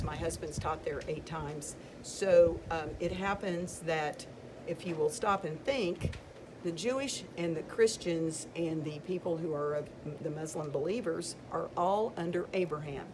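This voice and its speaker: An older woman with a lowish voice talks her way through a stack of books, chatty and rambling. She stops now and then to read a passage aloud with emphasis. A steady hiss of background noise sits under her voice. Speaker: video creator showing books. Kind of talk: casual show-and-tell of books.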